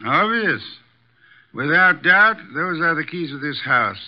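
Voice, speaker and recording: An actor playing an imperious, precise detective mastermind with pompous, formal diction in 1950s radio drama style. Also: male voice, fairly high pitch, animated, unhurried. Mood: measured, authoritative. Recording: dull old radio broadcast recording.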